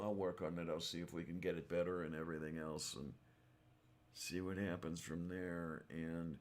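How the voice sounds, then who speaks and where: A man hosting a casual livestream chat, rambling and halting, his voice hoarse from a sore throat. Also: low voice. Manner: rambling, halting, many fillers. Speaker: livestream host.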